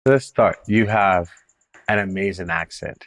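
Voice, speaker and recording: A man's voice: deep voice, unhurried, a bit bright. A man who is a pastor, hosting a church interview series, introducing his guest and asking questions in a welcoming way. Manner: welcoming, brief affirming interjections.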